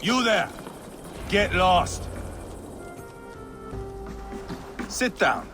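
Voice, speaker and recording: Brash Viking prince, wildly swinging delivery. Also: male voice, high voice, very animated, takes it slowly, music in the background, exaggerated voice. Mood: aggressive. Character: brash, bloodthirsty Viking warrior prince, restless and boastful. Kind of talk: video game cutscene acting.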